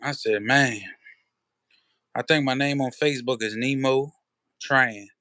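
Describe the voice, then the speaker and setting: A man's voice: mid-range voice, fairly even intonation, unhurried, sharp tone, no room sound, studio quality. A man hosting a livestream, chatting casually to his viewers in a laid-back, slangy way with lots of fillers. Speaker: livestream host.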